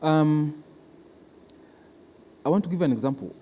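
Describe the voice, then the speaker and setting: A man with a Kenyan accent explains things as an interviewed official, speaking haltingly and repeating his words. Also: a little high, animated, warm tone. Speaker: cultural institution official being interviewed. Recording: reverberant hall with clear background noise.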